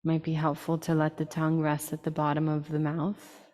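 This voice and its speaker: A woman with a low-pitched voice leads a guided meditation, soft-edged and mellow in tone. She speaks in short, unhurried phrases and leaves long pauses between them. A long reverb trails behind her words. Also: completely flat delivery. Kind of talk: slow, soothing guided meditation.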